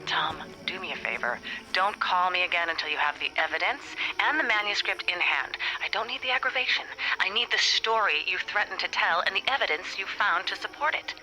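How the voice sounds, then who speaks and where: An actress playing a hard-nosed, impatient book publisher, curt, biting and dismissive, in an audio drama. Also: female voice, fairly low pitch, brisk, bright, forceful tone, soft background music, rough recording. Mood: scolding.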